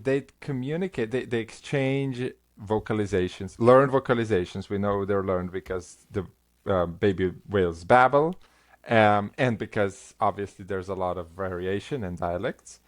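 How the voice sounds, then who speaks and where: A middle-aged man with a Slovenian first language, a linguistics professor talking as a guest in an interview, halting with many restarts and fillers. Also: on the low side. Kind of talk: conversational interview.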